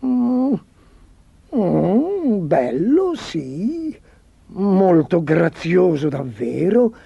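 A man's voice: sits high, very animated, unhurried, dark tone, no echo, dull audio, voice pushed up, exaggerated voice. A man voicing a harried, anxious, deferential chancellor of a fairy-tale court, fretful and exasperated. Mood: nervous pretence. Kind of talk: storybook character voice.

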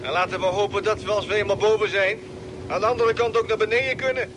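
A man's voice: fairly even intonation, fast, lightly acted. An actor playing an anxious space crewman on a Mars expedition in an old radio drama. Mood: apprehensive. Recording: noisy old radio drama, motor rumble.

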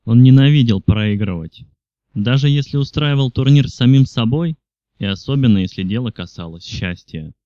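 A man's voice: mid-range voice, animated, normal speed, warm tone, dry recording, highs cut off. A man reading literary fiction aloud as a scripted audiobook narration. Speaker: audiobook reader.

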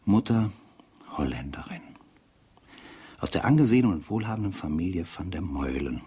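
An actor playing a dry, sardonic narrator who muses aloud in a conversational radio play style, with a husky, gravelly voice. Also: male voice, low voice, sing-song, normal speed, warm tone, heavily acted. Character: dry, sardonic male investigator-narrator reading the files.

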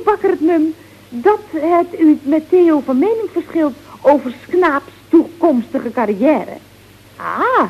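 An actress playing a chatty, quick-witted secretary in a vintage radio comedy, with a breezy, drawling, sing-song delivery. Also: female voice, high voice. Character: chatty, quick-witted female office secretary at a building firm. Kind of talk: 1970s radio comedy acting. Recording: dull vintage radio recording.

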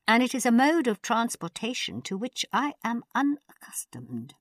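A woman's voice: on the high side, sing-song, moderate pace, dry recording, clean studio sound, voice pushed up. A woman voicing an irritable old man in a storybook, indignant, sarcastic and given to complaining.

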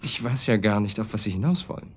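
A man's voice: on the low side, animated, fast, breathy edge. An actor playing a wary professional man under questioning in a radio crime drama, formal, puzzled and defensive. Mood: uneasy.

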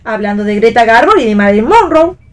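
A woman's voice: on the high side, sing-song, fairly quick. An Argentine actress playing a playful, teasing, defiant young wife and mother in a radio play reading.

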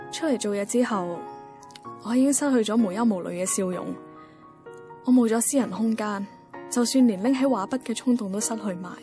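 A woman's voice: mid-range voice, natural voice. A woman playing a wistful, introspective young working woman in a radio drama, with a Hong Kong Cantonese accent. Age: young adult. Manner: introspective, musing. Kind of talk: radio drama first-person monologue. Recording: clear music bed behind voice.

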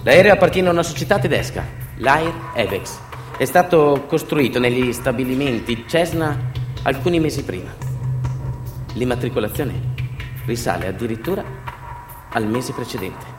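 A man performing a theatrical documentary monologue, moving between hushed, deliberate phrases with long pauses and emphatic delivery. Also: mid-range voice, expressive. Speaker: stage actor and storyteller. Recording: echoey room with loud music.

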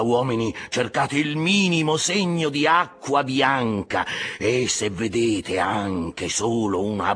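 A man voicing an obsessed, commanding old whaling captain in a dramatized audiobook, declaiming drawn-out orders to his crew. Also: low-pitched, breathy edge, exaggerated voice. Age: older.

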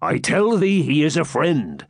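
A man voicing a boisterous, blustering friar in an audiobook, with booming, drawn-out archaic speech. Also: wildly swooping pitch, husky, no room sound, studio quality, exaggerated voice. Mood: blustering, evasive. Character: boisterous, blustering hermit friar, pious fraud and brawler. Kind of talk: audiobook character voice.

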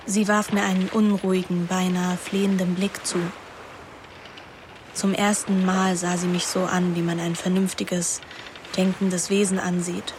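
A woman's voice: lowish voice, flat delivery, unhurried, noisy background, close to an everyday voice. An actress playing a wilful, moody teenage girl who narrates her summer intimately in a radio drama. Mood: reflective. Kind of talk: first-person radio drama narration and dialogue. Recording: music behind the voice.

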